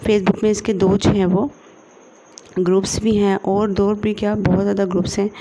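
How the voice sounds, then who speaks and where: A woman with a Pakistani accent presenting an informal screen-share tutorial, halting often and leaning on repeated filler phrases. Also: mid-range voice, flat delivery, noisy background.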